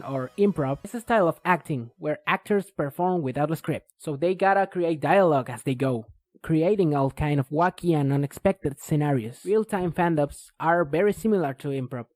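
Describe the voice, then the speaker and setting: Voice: mid-range voice, normal speed, a little mellow, no echo. A video essay host giving scripted, explanatory narration.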